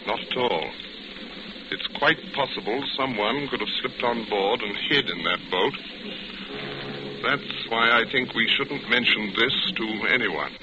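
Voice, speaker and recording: An actor playing a polite, attentive but secretive gentleman passenger in a 1940s radio drama, suave and slightly hesitant. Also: mid-range voice, a little slow, close to an everyday voice. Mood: evasive. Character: smooth, attentive, secretive gentleman ship passenger courting a woman. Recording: muffled, very noisy old radio recording.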